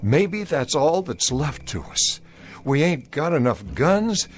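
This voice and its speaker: An actor playing a troubled Confederate soldier, speaking in a grave, earnest way in an old-time radio drama. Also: male voice, a little high, big pitch swings, takes it slowly, a little hiss or hum. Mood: desperate. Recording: old radio recording with music.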